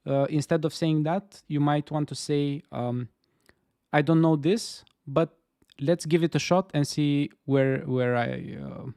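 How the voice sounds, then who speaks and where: A man's voice: medium pitch, darkish tone, smooth, dry recording, studio quality. A man from Romania with a Romanian accent, co-hosting a casual game-development stream, talking with frequent fillers and restarts. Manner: hesitant, many fillers and restarts. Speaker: stream co-host. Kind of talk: casual chat. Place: Stockholm.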